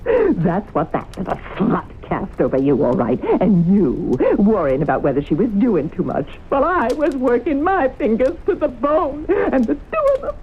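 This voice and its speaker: Indignant, folksy small-town wife, bitter and unstable, in vintage radio drama; muffled, clearly noisy recording. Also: female voice, fairly high pitch, very animated, moderate pace, few pauses. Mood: bitter, jealous. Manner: folksy rural speech, indignant. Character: bitter, self-righteous, jealous small-town wife, turning unhinged. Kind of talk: 1970s radio drama acting.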